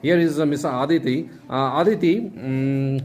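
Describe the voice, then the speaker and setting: A man with an Indian accent moderating a classroom discussion as a teacher, halting often and repeating his phrases. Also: fairly high pitch, a little mellow. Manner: halting, repetitive phrasing with many hesitations. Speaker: schoolteacher hosting a class discussion. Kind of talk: classroom question-and-answer moderating.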